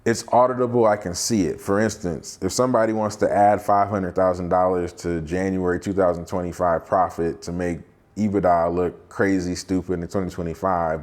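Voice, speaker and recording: A man's voice: deep voice, darkish tone. An American man working as a due diligence advisor, explaining a case in an emphatic, colloquial storytelling style. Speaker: due diligence advisor, quality of earnings provider. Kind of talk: explanatory storytelling to camera with acted-out dialogue.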